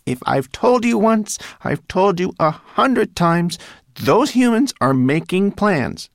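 A man voicing a small female rat in a storybook, shrill, sarcastic and scolding. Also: big pitch swings, slow pace, vocal fry, dry recording, clean studio sound, heavily acted. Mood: cross, lecturing. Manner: emphatic, scolding.

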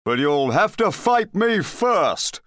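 A man's voice: high-pitched, sing-song, slow pace, a bit bright, no echo, clean studio sound, voice pushed up, heavily acted. Man acting a blustering, bumbling storybook knight. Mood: menacing. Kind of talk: children's storybook character reading.

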